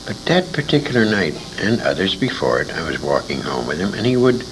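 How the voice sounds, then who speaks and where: A man's voice: sits low, big pitch swings, a little fast, a bit bright. A man in his sixties telling detailed, emphatic anecdotes with asides, as a veteran radio actor reminiscing in an interview. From born in England, grew up in Detroit, USA. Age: 60s. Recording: noisy 1980s convention interview recording.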